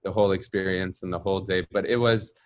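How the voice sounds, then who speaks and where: A man with an American accent speaks in a lowish voice, unhurried and reflective, often pausing on "um" and "you know" as he finds his words. The sound is dry and close, with a slightly dull, muffled top end. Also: fairly even intonation, no echo. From Palestinian diaspora. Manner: reflective, searching for words, frequent fillers. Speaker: interview guest, journalist. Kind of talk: conversational storytelling.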